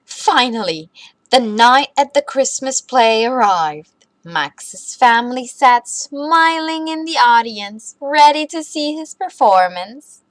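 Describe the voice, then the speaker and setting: A woman reading a children's bedtime story aloud in an expressive, deliberate way for young listeners. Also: fairly high pitch, dry recording.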